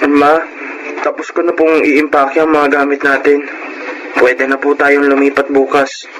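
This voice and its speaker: A man's voice: fairly high pitch, completely flat delivery, a little slow, fairly bright tone. A young man with a Filipino accent playing a deferential, remorseful son in a radio drama. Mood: earnest. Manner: deferential, pleading. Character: remorseful, respectful grown son of a broke family. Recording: noisy radio drama recording.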